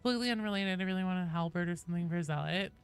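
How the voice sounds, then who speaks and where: A woman's voice: lowish voice, racing, clean tone, faint music behind. A woman chatting casually to viewers as a game livestreamer, playful and joking, with some swearing. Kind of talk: casual livestream chat while gaming.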